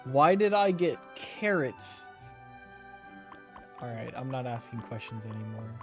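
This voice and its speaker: A man's voice: mid-range voice, lively intonation, unhurried. Casual male gamer, light music behind. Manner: joking, teasing, talking to game characters. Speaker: streamer playing a video game. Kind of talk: casual game commentary, reading dialogue aloud. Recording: faint game music behind. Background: game music.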